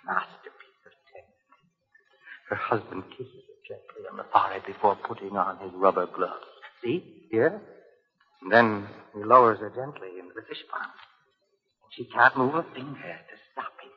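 An actor playing a menacing cartoonist husband who gloats theatrically, in 1940s radio drama style. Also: male voice, fairly low pitch, moderate pace, husky. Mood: gloating menace. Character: menacing, gloating cartoonist husband plotting his wife's murder. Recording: muffled, reverberant old radio recording.

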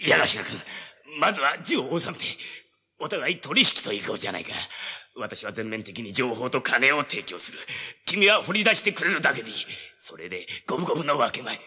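A blustering yakuza boss in a radio drama, with a very sharp, forceful voice and pitch that swings widely. His talk is rough and oily, in a long hall-like reverb. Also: sing-song, normal speed, full character voice. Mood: oily bargaining.

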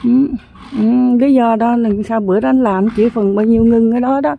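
A woman's voice: fairly high pitch, steady tone, brisk, gravelly, narrow, tinny audio. A woman giving a chatty, rambling walking commentary while touring a construction site outdoors, filming on a handheld phone. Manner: chatty, rambling, many fillers.

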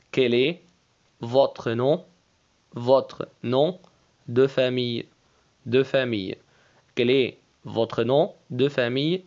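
Egyptian man slowly teaching French. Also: medium pitch, highs cut off. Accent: Egyptian Arabic. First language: Arabic. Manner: slow, deliberate, repeats phrases and spells words letter by letter. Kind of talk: language lesson, French with Arabic explanations.

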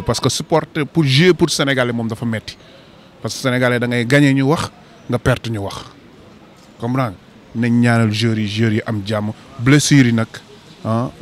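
Emphatic male fan outside, mid pitch, faint street ambience. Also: slight background noise. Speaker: football fan interviewed. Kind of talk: street interview. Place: snowy city street outdoors. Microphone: handheld mic.

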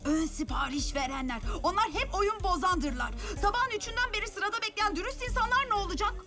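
A woman's voice: very high-pitched, brisk. A woman voicing an impatient, scheming, melodramatic young boy in a cartoon, with a brash delivery. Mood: indignant. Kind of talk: Turkish cartoon dub acting. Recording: loud music behind the voice.

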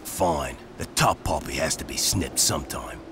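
An actor playing a gruff, boastful older desert warrior with a gravelly voice in a video game. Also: male voice, a bass voice, expressive, normal speed, noisy background. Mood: gruff, taunting. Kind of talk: animated video game acting.

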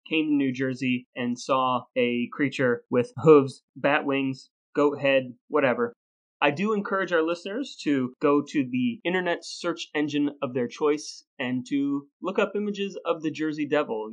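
A man hosting a podcast, telling stories and explaining in a deliberate, playfully grandiose presenter's delivery. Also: a little high, low-quality recording. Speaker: podcast host. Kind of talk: scripted storytelling and conversational explaining.

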